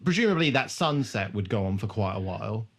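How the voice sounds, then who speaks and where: A man co-hosting a casual comedy podcast, chatting in a sweary, animated way and often laughing. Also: lowish voice, brisk, slightly rough. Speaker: podcast host. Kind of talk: casual comedic chat about a TV show.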